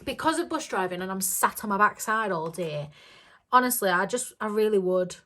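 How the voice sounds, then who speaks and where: A woman talking casually to her viewers in a slightly croaky voice. She rambles along with plenty of ums and short giggles, in a pitch that sits in the middle for a woman. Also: lively intonation, normal speed, a bit bright. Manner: rambling and chatty, with many ums and frequent giggles. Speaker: budgeting vlogger. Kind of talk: casual chat to camera.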